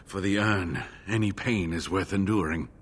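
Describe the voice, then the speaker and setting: Deep-voiced, weary scholarly monk. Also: male voice, husky. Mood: urgent, determined. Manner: halting, earnest, expressive. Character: injured, earnest scholarly monk, devoted and weary. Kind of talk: dramatic video game dialogue.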